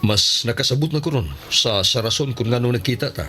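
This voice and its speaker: An actor playing a kind, joking man who consoles a friend with hesitant, stammering warmth in a radio drama. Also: medium pitch, brisk, music in the background, lightly acted. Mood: hesitant, sympathetic.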